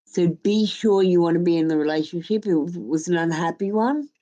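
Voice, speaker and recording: Woman doing a live tarot reading, low mellow voice, takes her time, chatty and blunt. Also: moderate pace, lo-fi sound. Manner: blunt, informal, sweary. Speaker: tarot reader and live stream host. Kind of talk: casual live tarot reading, giving advice.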